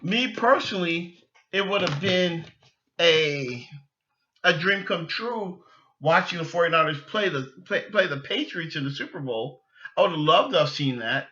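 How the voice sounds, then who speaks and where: A man's voice: a little high, animated, measured pace, sharp tone, lo-fi sound. A man hosting a sports podcast, giving casual, opinionated fan talk in a rambling way, often restarting and repeating his phrases.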